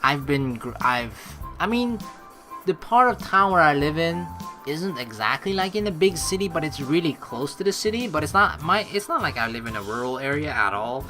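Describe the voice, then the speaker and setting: A woman gives chatty, playful commentary on a game. Her pitch swings widely as she rambles and reads aloud. Game music plays underneath. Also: big pitch swings, normal speed. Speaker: let's player reading and reacting to a game. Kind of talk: casual gameplay commentary.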